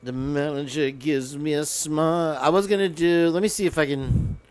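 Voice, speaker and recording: A man's voice: on the high side, steady tone, normal speed, darkish tone, clear, resonant tone. A man hosting a casual livestream, rambling and joking between bits of guitar playing, his voice crackly today. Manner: rambling, joking, sings off-key. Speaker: livestream host. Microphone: headphones with mic.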